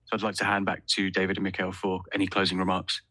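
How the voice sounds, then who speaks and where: A man's voice: low voice, completely flat delivery, fast talker, bright, forceful tone. A male conference call operator moderating questions in a formal, procedural style.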